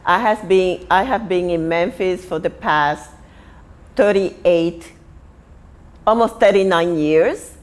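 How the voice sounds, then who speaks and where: Slow-spoken Puerto Rican woman in her sixties. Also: on the low side. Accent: Puerto Rican Spanish. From Puerto Rico. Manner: unhurried, many pauses and restarts. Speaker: artist and printmaking teacher. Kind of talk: personal life story told to camera.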